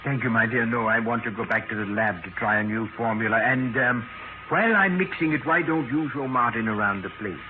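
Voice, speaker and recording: An actor playing an obsessive, insect-hating professor in a 1940s radio drama, speaking with hesitant, muttering intensity. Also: male voice, medium pitch, fairly quick, close to an everyday voice. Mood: courteous, preoccupied. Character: obsessive professor inventing insecticide, married, paranoid about insects. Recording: scratchy 1940s radio, narrow band, music.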